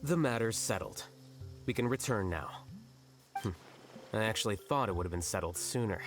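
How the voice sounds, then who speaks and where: Man playing an aloof, deadpan young scholar. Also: fairly low pitch, moderate pace, faint music behind, natural voice. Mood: detached. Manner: deadpan, matter-of-fact. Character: aloof, unbothered young male scholar, curt and rational. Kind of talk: video game voice acting.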